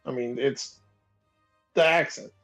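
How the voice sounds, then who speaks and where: A man's voice: medium pitch, takes it slowly, a bit bright, faint music behind. A man from Missouri chatting casually on a panel, blunt and dismissive. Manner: blunt, dismissive of online griping. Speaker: podcast panelist. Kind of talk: casual group chat.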